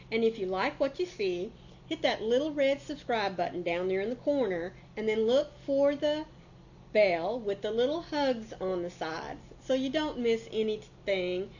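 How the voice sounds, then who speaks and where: A woman's voice: mid-range voice, animated, normal speed, a little mellow, lo-fi sound, slight background noise. A middle-aged woman, a bit congested from a cold, chatting casually and folksily to camera as a crafting channel host.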